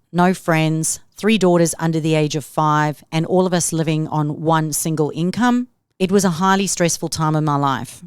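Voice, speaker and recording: A woman's voice: low voice, clear, resonant tone, no room sound, clean studio sound. A middle-aged woman with a South African accent, hosting a solo podcast in an upbeat, motivational tone. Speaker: podcast host.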